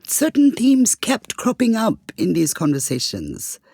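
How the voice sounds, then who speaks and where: A woman co-hosting a podcast, telling things in a conversational, expressive way. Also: mid-range voice, unhurried, vocal fry, husky, no echo, studio quality. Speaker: podcast co-host.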